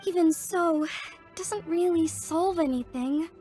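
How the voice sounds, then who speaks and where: A woman's voice: very high voice, unhurried, dark tone, faint music behind. A woman voicing an earnest, protective young woman illusionist in a video game. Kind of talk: scripted video game voice acting.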